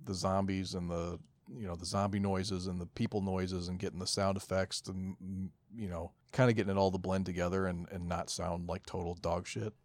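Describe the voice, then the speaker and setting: Low-voiced man chatting on a podcast. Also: little pitch movement, brisk, hardly any pauses, no echo. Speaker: podcast co-host. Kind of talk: casual chat.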